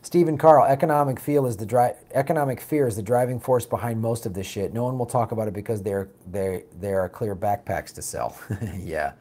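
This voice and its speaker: A raspy man hosting a political talk show, reading viewer comments and answering them bluntly in a casual, animated way. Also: lowish voice, fairly quick. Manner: blunt, animated, mocks opponents with put-on quotes.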